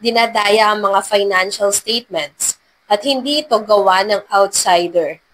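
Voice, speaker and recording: A woman's voice: medium pitch, unhurried, fairly bright tone, a little hiss or hum, lightly acted, low-quality recording. A woman voicing a wealthy male CEO in a romance story, earnest and apologetic, mixing in English phrases. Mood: serious. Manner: earnest, code-switches into English. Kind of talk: dramatized romance story narration.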